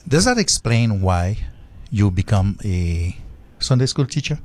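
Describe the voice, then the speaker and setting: Low-voiced interviewer from Haiti. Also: male voice, sing-song, unhurried. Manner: attentive, frequent listening murmurs, polite. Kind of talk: interview questions.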